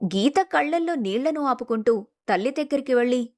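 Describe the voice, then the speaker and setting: A woman's voice: high voice, expressive, a little fast, darkish tone. A woman reading a scripted family story as a dramatic narrator.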